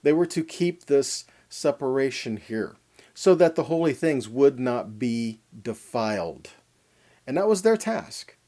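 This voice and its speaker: Mellow, expressive man teaching scripture. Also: mid-range voice, unhurried. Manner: didactic, asks and answers own questions, checks in with "okay". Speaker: teacher of a weekly Torah portion. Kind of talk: Bible teaching, explaining and reading scripture.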